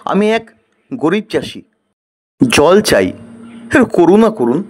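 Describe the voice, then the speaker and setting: Cartoon poor farmer played by a man: earnest, pleading, unhurried and husky. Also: on the high side, big pitch swings, darkish tone, heavily acted. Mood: humble, pleading. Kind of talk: moral story cartoon acting.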